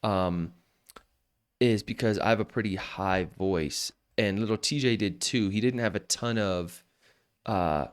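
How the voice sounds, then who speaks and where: A man's voice: on the low side, a little slow, smooth. A man teaching vocal mixing in a casual, loose tutorial from a home studio, often restarting his sentences as he explains. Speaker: mixing instructor. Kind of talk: casual screen-share tutorial.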